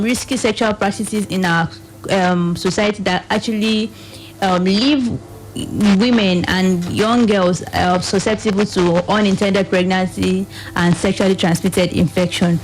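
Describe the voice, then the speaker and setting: Nigerian lady on a radio panel, medium pitch, halting explainer, soft music behind. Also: low-quality recording.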